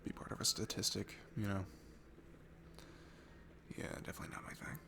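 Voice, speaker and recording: Shy, sweet guy alone at a bar, played by a man for ASMR audio: heavy vocal fry, lively shifting pitch, hesitant, stammering and self-effacing. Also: a little fast, very noisy, heavily acted. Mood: confiding. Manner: hesitant, stammering, self-deprecating, breaking into hushed breathy asides. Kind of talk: intimate ASMR roleplay audio acting. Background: music.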